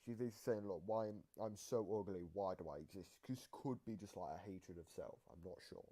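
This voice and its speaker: A man giving casual, analytical reaction commentary, explaining things in a halting, rambling way that circles back on repeated phrases. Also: sits low, dark tone. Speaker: reactor explaining game voice lines.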